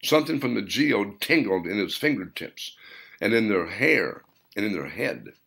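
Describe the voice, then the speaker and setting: Low, gravelly man narrating with animated pitch. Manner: deliberate, theatrical, short phrases. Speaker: author reading own novel. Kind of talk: dramatic audiobook narration.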